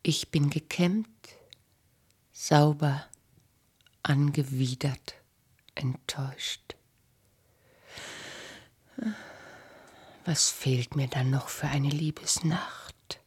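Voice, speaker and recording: A woman acting a proud, bitter, calculating queen and abandoned wife in a German radio play, speaking in a cool, sharp-tongued, imperious way. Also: low-pitched, fairly even intonation, slow, long pauses, slightly rough, heavily acted. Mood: weary, disgusted.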